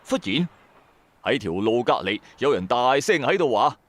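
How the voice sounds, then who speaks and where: Animated Hong Kong Cantonese male storyteller. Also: medium pitch, normal speed, no echo. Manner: expressive, chatty asides to the listener. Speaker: storyteller, narrator. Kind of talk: first-person dramatic audio storytelling, colloquial. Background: background music.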